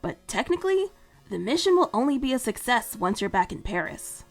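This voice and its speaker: A woman's voice: a little high, big pitch swings, brisk, soft background music. A woman voicing a playful, teasing young male superhero.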